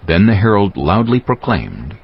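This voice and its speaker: A man's voice: lowish voice, very animated, moderate pace. A man narrating scripture from a script in a dramatic audiobook style. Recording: dull lo-fi audio, faint music.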